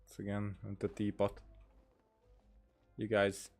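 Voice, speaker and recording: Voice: sits low, completely flat delivery, takes it slowly, a little mellow, soft background music. A streamer giving casual, offhand commentary in muttered asides while playing a video game.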